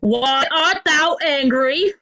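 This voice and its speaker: A woman playing a stern, commanding duke in Shakespearean reader's theatre, measured and imperious. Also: sits high, sharp tone, no echo, exaggerated voice. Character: Cornwall, commanding stern duke handing out orders.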